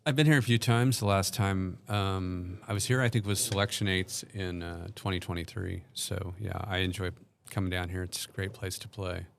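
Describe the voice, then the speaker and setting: Very deep, gravelly male co-commentator. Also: steady tone, normal speed, hardly any pauses, a little hiss or hum. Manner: hesitant, many ums, describes play step by step. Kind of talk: casual play-by-play commentary.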